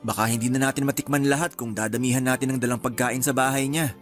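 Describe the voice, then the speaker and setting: An actor as an affectionate, easygoing young Filipino husband in a radio drama. His pitch is medium, with light music underneath. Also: steady tone, fast talker, natural voice. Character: agreeable, affectionate young husband devoted to family.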